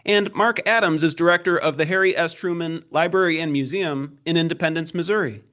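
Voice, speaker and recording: A man's voice: fairly high pitch, a little fast, fairly bright tone. A man working as a reporter, hosting a formal interview and introducing his guests and questions.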